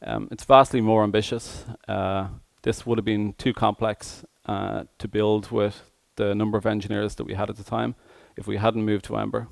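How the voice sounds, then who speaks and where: Low-pitched, flat-toned man with a gravelly creak. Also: normal speed, few pauses, dry recording. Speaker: conference speaker, software engineer. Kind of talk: tech conference presentation.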